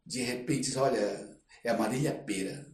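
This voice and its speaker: An older man with a Brazilian accent, telling anecdotes as an interview guest in a halting, reflective, casual way. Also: medium pitch. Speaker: interview guest, actor and screenwriter. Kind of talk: casual podcast storytelling. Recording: roomy echo, distant microphone.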